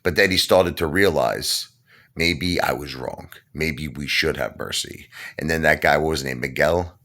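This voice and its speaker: A middle-aged man with a New York accent talks in a very low, deep voice. He rambles through casual chat, stacking fillers and restarts as he goes. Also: moderate pace. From Brooklyn, New York. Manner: rambling, animated storyteller with many fillers. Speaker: channel host.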